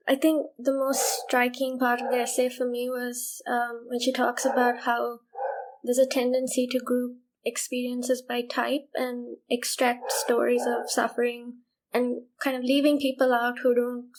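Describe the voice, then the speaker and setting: A woman podcast panelist giving a measured response in a group discussion. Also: on the high side, rough recording.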